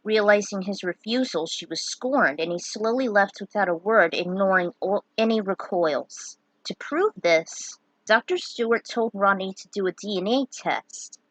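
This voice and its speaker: A woman's voice: medium pitch, animated, unhurried, few pauses, no room sound, low-quality recording. A woman reading a fan fiction story aloud, dramatic in her telling and given to opinionated asides. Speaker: narrator.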